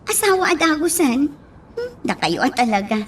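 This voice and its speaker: High-voiced, hesitant young Filipina daughter. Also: female voice, sing-song, moderate pace, slight background noise. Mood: worried. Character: hesitant, worried young daughter confiding in her father. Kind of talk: radio drama acting.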